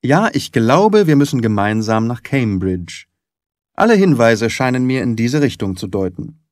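A man voicing a brisk, analytical detective in an audiobook, articulate and emphatic, with deliberate phrasing. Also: mid-range voice, sing-song, a little mellow, no room sound, clean studio sound, natural voice. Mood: decisive. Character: brisk, confident, analytical male detective, theatrical and keen. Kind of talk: audiobook character dialogue.

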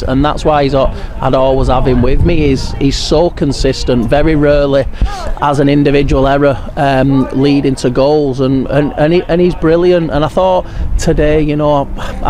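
A man in his thirties with an English accent, a football manager giving a frank, halting post-match interview outdoors at a floodlit ground at night. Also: medium pitch. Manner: frank, frustrated, halting with restarts. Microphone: handheld furry mic held near by interviewer.

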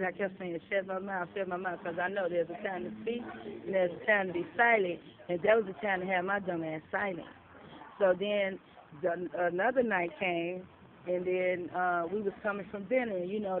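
A woman, a shelter resident, telling a story in casual chat, blunt and profane, acting out the dialogue she quotes, recorded on a phone outdoors. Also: medium pitch. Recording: tinny phone-like audio, faint noise.

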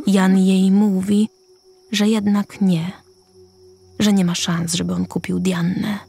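Woman narrating a radio play, husky, slow, soft music behind her. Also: medium pitch, flat delivery.

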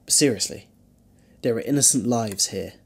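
A man playing a flippant, protective alien time traveller in a fan audio drama, with a husky voice and wry, bantering delivery. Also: medium pitch, normal speed. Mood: stern, defiant.

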